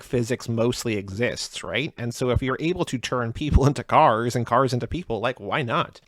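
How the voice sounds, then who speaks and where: A man chatting on a podcast, rambling quickly and leaning on filler words. His delivery races along at a mid pitch. The sound is close and dry. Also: dry recording. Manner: fast, rambling, many "like" fillers. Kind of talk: casual podcast chat.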